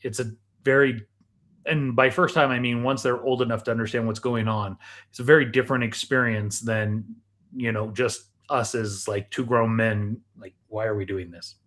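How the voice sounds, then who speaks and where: A man's voice: medium pitch, fairly even intonation, moderate pace, a bit bright. A man co-hosting a podcast in casual, conversational chat. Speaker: podcast co-host.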